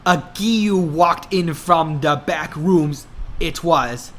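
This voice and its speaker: A man reading a story aloud in a mock-dramatic style, deliberately and with a straight face. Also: on the high side, a bit bright. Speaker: dramatic reader of fan fiction.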